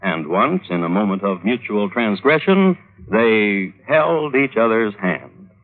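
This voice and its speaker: A droll connoisseur of crime, telling tales on vintage radio. His low voice moves expressively through wry, deliberate phrases. The broadcast sounds tinny and narrow. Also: very animated. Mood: wry storytelling. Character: droll, dry male crime connoisseur narrating historical murders. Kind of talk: 1950s radio storytelling.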